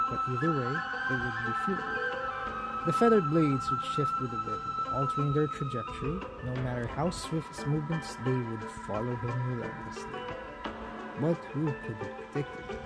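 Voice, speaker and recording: A man reading a story aloud as a dramatic, emphatic narration. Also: medium pitch. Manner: theatrical, emphatic storytelling delivery.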